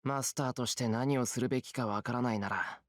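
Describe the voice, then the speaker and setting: A man's voice: mid-range voice, racing, dry recording, clean studio sound, lightly acted. A man voicing an earnest, brooding teenage boy hero in a Japanese visual novel. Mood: resolved.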